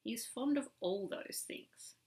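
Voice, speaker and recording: A woman voicing a little gossipy bat who brings news in a children's story, in a measured, deliberate way. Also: a little high, warm tone, pitched above the performer's own voice. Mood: informative.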